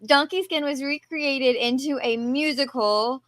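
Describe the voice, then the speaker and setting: High-voiced woman, giggly podcast co-host. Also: unhurried, a bit bright, dry recording, clean studio sound. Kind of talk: casual podcast discussion.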